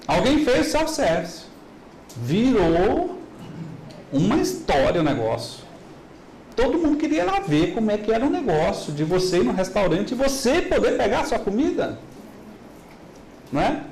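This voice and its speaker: Middle-aged male lecturer with a Minas Gerais accent, lively and quizzing the audience; echoey hall with faint noise. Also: high voice, normal speed, pauses often. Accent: Minas Gerais Brazilian Portuguese. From Minas Gerais, Brazil. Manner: animated, asks the audience rhetorical questions. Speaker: business lecturer. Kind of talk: motivational business talk. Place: large hall.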